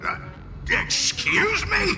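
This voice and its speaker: A man's voice: very high-pitched, wildly swooping pitch, extremely slow, exaggerated voice. A man voicing a brash, grumbling spirit in a video game, with a sarcastic, blustering manner. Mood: indignant, teasing. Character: brash, grumbling male spirit bound to a girl. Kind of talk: video game character acting. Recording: game music behind the voice.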